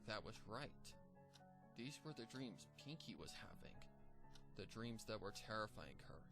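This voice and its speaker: A man narrating a dark fan story in a measured, grim storytelling manner over background music. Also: fairly low pitch, animated. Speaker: fanfiction narrator. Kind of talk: dramatic story reading.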